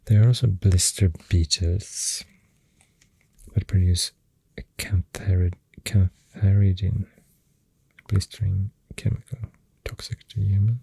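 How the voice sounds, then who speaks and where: A soft-spoken ASMR host with a Swedish accent, talking in a hushed, breathy, partly whispered voice, halting and gentle, close to the microphone. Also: deep voice, steady tone, no echo.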